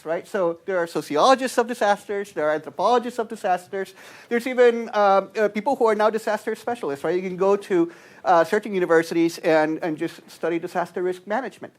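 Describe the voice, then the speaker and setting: A man from Guatemala giving a university lecture as a guest anthropologist, talking conversationally and tagging his points with "right?". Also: sits high.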